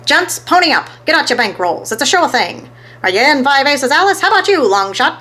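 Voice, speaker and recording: A woman's voice: high-pitched, very animated, brisk, sharp tone, pitched above the performer's own voice, exaggerated voice. A woman voicing a brash, greedy male racetrack gambler for a children's storybook. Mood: greedy, excited.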